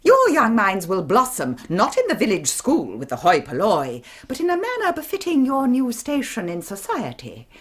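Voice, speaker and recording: Strict English governess, pompous, commanding, wildly swinging intonation, husky edge, radio drama. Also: female voice, fairly high pitch, wildly swooping pitch, normal speed, hardly any pauses, full character voice. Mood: imperious. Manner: commanding, crisply formal, theatrical scolding. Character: stern, pompous Victorian governess, cold and strict. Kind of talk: 1990s radio drama acting.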